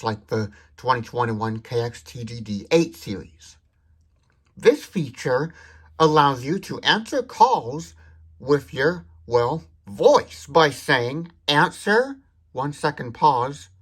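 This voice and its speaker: A man demonstrates a product step by step with a husky, slightly rough voice. His intonation swings widely, and he explains each point methodically and precisely. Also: medium pitch, a bit bright. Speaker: tech demonstrator.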